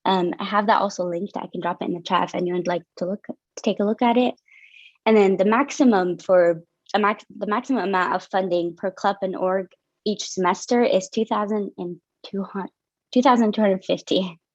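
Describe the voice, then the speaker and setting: A woman's voice: mid-range voice, fairly quick, dry recording. A young woman from Syria, a student finance officer, explains funding policies on a video call in a casual way with many fillers. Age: young adult. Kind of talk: presenting over a video call. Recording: dull lo-fi video call audio.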